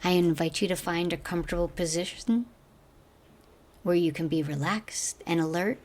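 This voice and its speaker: A woman guiding a meditation as a teacher, speaking gently in slow, measured phrases that trail off softly. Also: fairly low pitch. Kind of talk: guided meditation narration.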